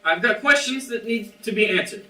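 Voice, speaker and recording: A man's voice: high-pitched, moderate pace, sharp tone. A man playing a jilted, hesitant young man at a script table read. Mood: wounded. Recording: roomy, far from the mic.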